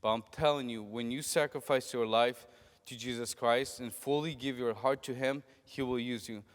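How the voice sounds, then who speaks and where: A man's voice: medium pitch, fairly even intonation, normal speed. A man encouraging a church youth group and introducing a guest speaker, with fillers and restarts. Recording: long church hall reverb.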